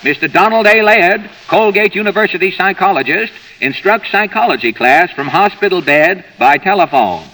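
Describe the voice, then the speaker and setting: A man announcing the scenes as a newsreel-style narrator in crisp, scripted delivery. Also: high voice, animated, moderate pace, hardly any pauses, sharp tone, rough recording.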